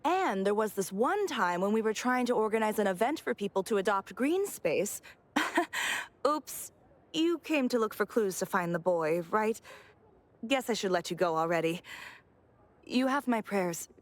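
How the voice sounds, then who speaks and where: A woman's voice: medium pitch, lively intonation, moderate pace, lightly acted. An actress playing a chatty, nosy but kindly neighbourhood mother in a video game, rambling and theatrical. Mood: fond, chatty. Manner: gossipy, rambling, theatrical sighs. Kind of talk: video game dialogue acting.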